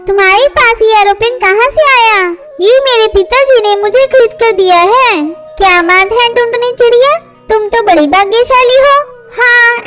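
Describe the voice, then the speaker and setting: A woman voicing a young girl bird in a Hindi children's cartoon. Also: very high-pitched. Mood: eager. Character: young girl birds, one haughty rich, one humble poor. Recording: loud music bed under voice. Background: loud music.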